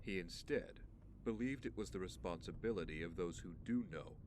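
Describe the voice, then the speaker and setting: A male actor as a wealthy young man in an audio drama, hurt and tired. His voice is low and measured, and he keeps evading. A noisy car ambience runs clearly underneath. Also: lively intonation. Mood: weary, reflective. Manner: measured, evasive. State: injured, weary. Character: wounded, guarded wealthy young man, weary but composed.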